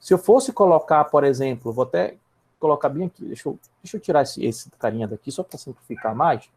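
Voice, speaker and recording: A man's voice: fairly high pitch, animated, brisk, darkish tone. A Brazilian man teaching a programming class online, explaining step by step and checking in with his students often. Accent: Brazilian Portuguese. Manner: repeats and restarts words while typing. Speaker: programming instructor. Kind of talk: live online coding lesson.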